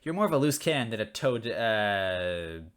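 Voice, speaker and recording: Man as a soft but stern princess chief. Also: fairly low pitch, sing-song, normal speed, clear, resonant tone.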